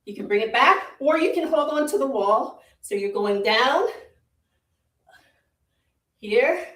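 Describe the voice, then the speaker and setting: A woman's voice: a little high, lively intonation, slow, fairly bright tone. Jamaican woman coaching a workout, roomy. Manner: encouraging, repeats short cues, laughs easily. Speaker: fitness instructor. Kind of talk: live virtual workout coaching. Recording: roomy, distant from the mic.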